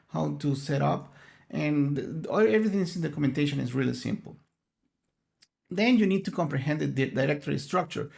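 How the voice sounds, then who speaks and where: A man's voice: fairly high pitch, gravelly, darkish tone. A man whose first language is Spanish, giving an online conference presentation in an earnest, explanatory way, often searching for words. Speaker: conference speaker.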